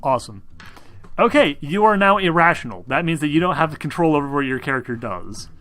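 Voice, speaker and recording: A man's voice: on the high side, very animated, fairly quick, fairly bright tone, no echo, soft background music. A man acting as game master, narrating a tabletop game in casual, improvised talk with plenty of swearing. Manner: profane.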